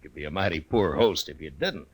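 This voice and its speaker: Deep low voice, American frontier marshal, steady, laconic, dry wit, unhurried, old-time radio western. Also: male voice, slightly rough, exaggerated voice. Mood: dryly teasing. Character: steady, dryly humorous lawman in his prime, Old West marshal.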